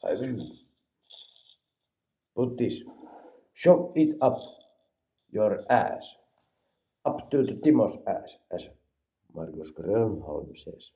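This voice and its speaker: A man from Finland with a Finnish accent, rambling deadpan through an absurd comedic product review and mixing languages. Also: on the low side, slow pace, long pauses, dull audio. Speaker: vlogger reviewing an energy drink.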